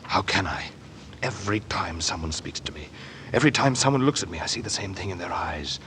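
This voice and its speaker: An actor playing a sensitive, troubled, self-effacing gentleman in an old-time radio drama, speaking with earnest feeling. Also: sits low, animated, a little fast. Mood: bitter, anguished. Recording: noisy old radio broadcast recording.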